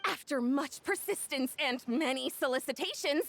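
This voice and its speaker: A woman playing an excitable, effusive young event organiser in a video game, polite and gushing. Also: high voice, moderate pace, gravelly. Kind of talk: video game character acting.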